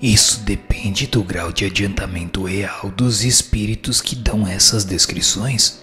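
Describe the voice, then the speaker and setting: A man's voice: on the low side, fairly even intonation, unhurried, sharp tone. A man reading scripted answers in a formal, didactic manner. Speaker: reader of the answers. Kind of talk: scripted reading of a spiritist text. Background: music.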